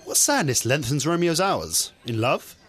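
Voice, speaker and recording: Man playing a young noble cousin, animated pitch, earnest, persuasive, declaimed verse. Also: unhurried, slightly rough, heavily acted. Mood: coaxing. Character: Benvolio, concerned, coaxing young nobleman and cousin. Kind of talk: student audio drama of Shakespeare.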